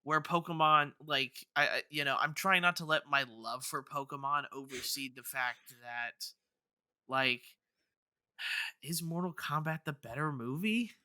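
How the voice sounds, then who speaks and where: A man's voice: a little high, unhurried, pushed and bright, no room sound. A man co-hosting a podcast in casual debate, hesitant and thinking aloud as he talks. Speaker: podcast co-host.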